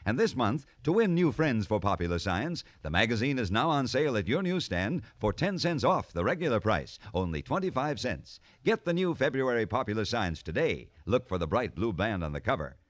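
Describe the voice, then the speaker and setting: Male announcer for old radio commercial, emphatic, animated intonation, close dry sound. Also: moderate pace, few pauses, dry recording. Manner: emphatic sales pitch. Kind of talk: vintage radio advertisement read.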